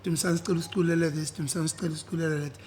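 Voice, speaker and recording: A young South African man with a husky voice, chatting easily as an interview guest and mixing in isiZulu. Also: a little high, rapid-fire, a little hiss or hum. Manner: relaxed, switches between English and isiZulu, repeats "yeah". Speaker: guest, singer. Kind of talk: casual interview chat.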